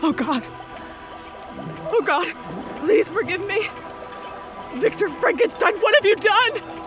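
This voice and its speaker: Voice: extremely high pitch, animated, slow pace, over music, full character voice. An actor playing a horrified, remorseful young male scientist in a melodramatic radio play. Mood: horrified, pleading.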